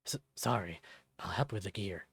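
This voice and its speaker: Casting: subdued male wolf read by a man, quiet and hesitant, visual novel teammate. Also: sits low, moderate pace, slightly rough, no room sound, voice pushed down, exaggerated voice. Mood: distracted.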